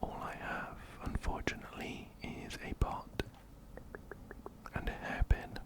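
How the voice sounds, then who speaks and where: A man whispering softly and breathily, close up to a binaural microphone, gently repeating phrases as he gives soothing ASMR game commentary. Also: a little slow. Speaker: ASMR host playing a video game.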